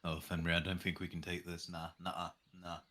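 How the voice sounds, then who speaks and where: A man with a low yet bright, forceful voice jokes around on a group stream. He is boisterous, with faint noise behind him. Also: monotone, fairly quick. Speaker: guest player on stream. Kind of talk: casual joking banter.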